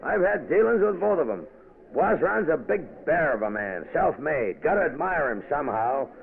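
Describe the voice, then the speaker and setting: A man's voice: fairly high pitch, big pitch swings, normal speed, gravelly. An actor playing a brash, slangy American insurance man in an old radio drama. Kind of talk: 1940s radio acting. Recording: tinny old radio broadcast.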